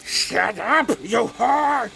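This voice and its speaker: A man playing a gleefully menacing, short-tempered cannibal boogeyman in a horror audio drama, with a gravelly voice and a slow, taunting, theatrical manner. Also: extremely high pitch, animated, music in the background, heavily acted. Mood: furious. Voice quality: gravelly, creaky.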